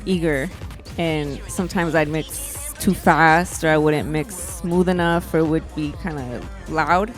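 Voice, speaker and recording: Soft-spoken woman DJ, low and mellow. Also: faint music behind. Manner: quiet, unhurried, understated. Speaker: DJ guest. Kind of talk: casual interview chat.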